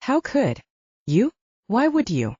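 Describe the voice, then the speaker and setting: A woman's voice: a little high, wildly swooping pitch, takes it slowly, a little mellow, husky, no room sound, highs cut off, voice pushed up, heavily acted. A woman voicing a proud male werewolf, defensive and scornful, in an audiobook. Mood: defensive, disgusted. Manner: hasty, scornful.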